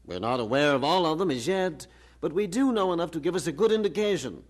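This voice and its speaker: Condescending academic, higher-pitched, very animated delivery, didactic radio drama style. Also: male voice. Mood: patient lecturing. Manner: didactic, pompous, measured argument. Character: learned, condescending male university professor arguing patiently.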